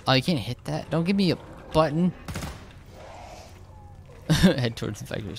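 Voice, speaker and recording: A young man, slightly hoarse, casually commenting over his gameplay, rambling with lots of fillers and restarts. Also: fairly high pitch, animated, moderate pace, a little hiss or hum. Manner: rambling, hesitant, frequent filler words and restarts. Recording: game gunfire loud behind voice. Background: shooter game sounds and gunfire.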